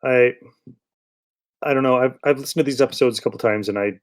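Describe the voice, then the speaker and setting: A man's voice: mid-range voice, fairly even intonation, fast. A man hosting a podcast in casual chat, rambling a little as he thinks aloud. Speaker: podcast host.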